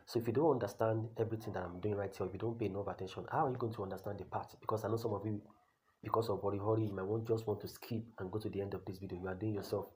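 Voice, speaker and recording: A man's voice: fairly low pitch, fairly even intonation, rapid-fire, a little mellow, slight background noise. A Nigerian man with a Nigerian accent giving a blunt, repetitive tutorial as an online career coach. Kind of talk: talking-head tutorial.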